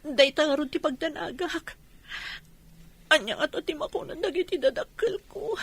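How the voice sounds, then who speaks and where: A woman's voice: high-pitched, animated, moderate pace. A woman playing a distraught, defiant young pregnant woman in a radio drama, emotional and reproachful. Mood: worried, tearful.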